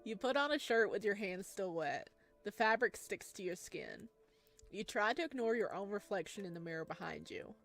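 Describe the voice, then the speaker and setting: A woman's voice: mid-range voice, animated, vocal fry. A woman reading a visual novel as a solemn, suspenseful narrator of a creepy horror story. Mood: tense. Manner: slow, hushed, suspenseful. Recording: music behind the voice.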